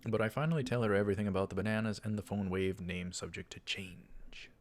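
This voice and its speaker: A man's voice: low-pitched, fast talker. A man hosting a let's play, reading visual novel text aloud and adding casual comments.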